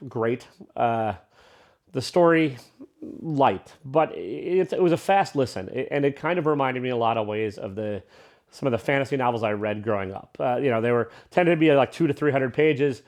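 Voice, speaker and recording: A man's voice: mid-range voice, darkish tone. A man giving a casual, unscripted review as a vlogger, rambling along in an irreverent, self-deprecating way.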